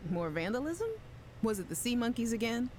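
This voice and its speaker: An actress playing a skeptical, curious scientist in a video game, with a questioning, incredulous manner. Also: female voice, on the high side, sing-song, a little fast, a little mellow, slight background noise. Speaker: game character voice actor. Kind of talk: acted recorded dialogue in a video game.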